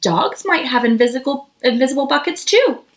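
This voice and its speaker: A woman's voice: high-pitched, expressive, moderate pace. A woman voicing an eager, sensitive young schoolboy in a children's storybook. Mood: thoughtful. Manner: eager, earnest. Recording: echoey room.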